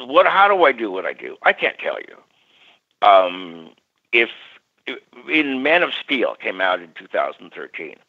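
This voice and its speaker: An older male parapsychologist telling stories as a radio guest, lively, acting out quoted voices. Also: lowish voice. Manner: animated, acts out quoted voices. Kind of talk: storytelling in a radio interview. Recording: muffled radio audio.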